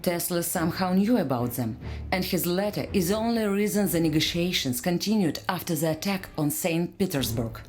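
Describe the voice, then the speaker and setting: A woman's voice: lowish voice, animated, normal speed, echoey room, close to an everyday voice. An actress playing a determined, secretive female security chief in a video game cutscene, commanding and matter-of-fact. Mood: guarded, resolute. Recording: music playing behind the voice.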